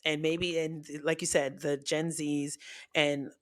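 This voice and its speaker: A man with a slightly raised voice talks in a lively, expressive way. He is frank and teases himself, breaking off and restarting his sentences as he goes. The sound is close and dry. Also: a little high, slow pace, clean tone, dry recording. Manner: animated and expressive, with frequent restarts; frank and self-teasing. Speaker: guest podcaster. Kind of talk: casual chat.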